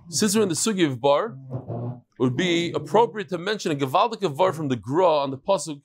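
A middle-aged man leads a daily Talmud class in a large wood-paneled study hall, reading emails aloud. His voice sits a little high, and he throws chatty asides and Hebrew terms to the room around him. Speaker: Talmud teacher.

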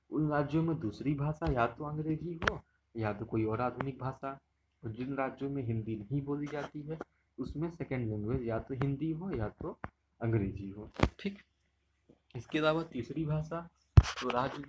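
A man with a Hindi accent walks through an exam-prep lesson, annotating slides as he talks. His voice sits at a middle pitch. A faint hiss runs under the screen recording. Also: darkish tone. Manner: explanatory, repeats points, checks with "ठीक". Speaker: teacher. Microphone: screen recording, unseen speaker.

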